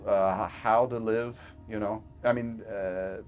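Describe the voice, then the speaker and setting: A low-pitched man who is a physician rambles easily through his stories over a video call. He fills the gaps with ums and you-knows and laughs now and then, with faint music under him. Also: fairly even intonation, a little slow, muffled recording. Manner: chatty storyteller, frequent fillers and laughs. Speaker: physician guest. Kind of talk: casual remote podcast conversation.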